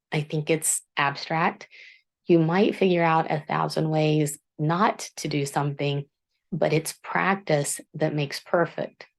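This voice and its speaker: A woman author hosting her book channel, speaking in a low voice in an earnest, self-deprecating, measured monologue. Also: gravelly. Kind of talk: reflective scripted monologue.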